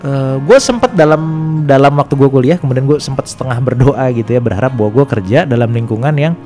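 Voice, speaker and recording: A man's voice: medium pitch, lively intonation, fast, a little mellow, faint music behind. A man with a Jakarta Indonesian accent telling his own story in a casual, slangy podcast conversation.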